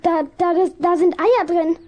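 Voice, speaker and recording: Very high, hesitant little boy. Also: fairly even intonation, moderate pace, darkish tone. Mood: nervous, evasive. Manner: hesitant, stammering repeats. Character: shy, evasive little village boy.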